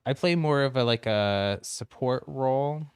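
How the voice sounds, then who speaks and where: A man's voice: medium pitch, measured pace, smooth, dry recording, studio quality. A man streaming a game, chatting casually with viewers, friendly and chatty with frequent ums and laughs. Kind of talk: casual chat while gaming on stream.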